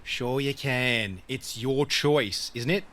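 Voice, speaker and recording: A man's voice: mid-range voice, takes it slowly, sharp tone, slight background noise. A man playing a goading, evasive man feigning innocence in an audio drama. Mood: coaxing.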